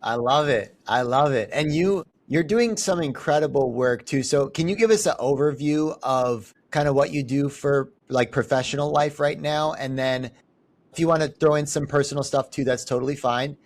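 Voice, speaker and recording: A man's voice: a little high, moderate pace. A man hosting a podcast who talks in an upbeat, friendly way in a casual interview, recorded inside a police cruiser. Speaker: podcast host.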